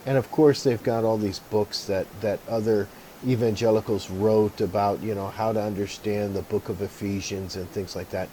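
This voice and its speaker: Mellow-voiced man musing on religion. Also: on the low side, fairly even intonation, moderate pace, a little hiss or hum, rough recording. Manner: rambling, reflective, many fillers and restarts. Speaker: video maker. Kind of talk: casual talk to camera.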